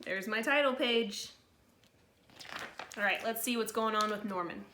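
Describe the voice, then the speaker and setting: Lady teacher doing a kids story read aloud, high bright voice, bit echoey room. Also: a little hiss or hum. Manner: slow, expressive storytelling with informal asides to pupils. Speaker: teacher reading to pupils. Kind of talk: read-aloud of a picture book for children. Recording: roomy, echoey sound.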